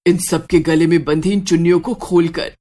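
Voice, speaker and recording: Woman voicing an eerie, slow-spoken ghostly witch. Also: fairly low pitch, fairly even intonation, warm tone, natural voice. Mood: instructing. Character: ghostly witch woman, eerie, solemn, helping from beyond death. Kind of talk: Hindi horror storybook voicing.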